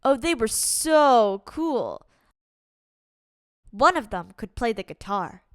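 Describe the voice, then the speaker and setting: A woman reading a children's picture book aloud as a storyteller, in an expressive, deliberate and dramatic way. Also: a little high, heavy background noise. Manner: deliberate, dramatic delivery with acted-out exclamations. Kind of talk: expressive read-aloud storytime narration for kids.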